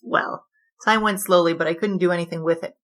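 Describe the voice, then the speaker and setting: A woman's voice: fairly low pitch, fairly even intonation, fairly quick, fairly bright tone, natural voice. A woman playing a brash, boastful young bandit king who tells wisecracking, slangy stories in a 1920s noir comedy audio drama, with music behind. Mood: rueful storytelling. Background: background music.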